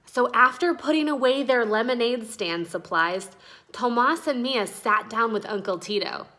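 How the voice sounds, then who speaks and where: Female read-aloud narrator, higher voice with very animated pitch, deliberate and instructional. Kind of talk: expressive children's story reading.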